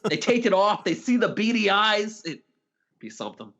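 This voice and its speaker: A man with a somewhat high-pitched voice co-hosts a casual film podcast. He talks in loose, conversational banter, as someone would when trading thoughts with a fellow host. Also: very animated, moderate pace, fairly bright tone, dull audio.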